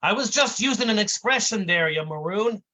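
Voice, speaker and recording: A man's voice: high voice, expressive, moderate pace, pushed and bright, voice pushed up. A man playing a short-tempered male comedian, brash and growling. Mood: irritated. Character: gruff short-tempered male vaudeville comic.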